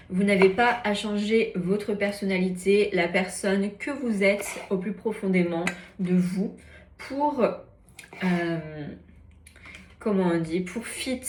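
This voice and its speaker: A woman's voice: medium pitch, roomy sound, slight background noise. A woman in her early thirties, chatting casually and upbeat as a vlogger, partly while cooking in her kitchen. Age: early 30s. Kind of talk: casual vlog talk to camera. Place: home kitchen.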